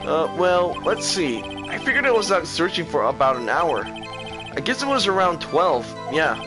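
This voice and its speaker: A man voicing an excitable, flustered young male witness in a game dub, rambling and defensive as he stammers. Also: fairly high pitch, moderate pace, over music, pitched above the performer's own voice.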